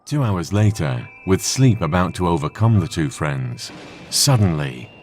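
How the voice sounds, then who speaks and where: A man's voice: low voice, big pitch swings, unhurried, a little mellow, slight background noise. A young man reading dramatic scripted story narration for a comic dub. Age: young adult.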